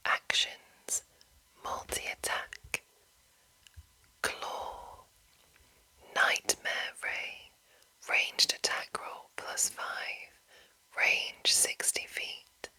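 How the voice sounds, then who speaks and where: A woman reading and commenting as an ASMR host in a breathy, unvoiced whisper, deliberate and pausing between short phrases. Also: bright, forceful tone, rough recording. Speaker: host reading a game rulebook.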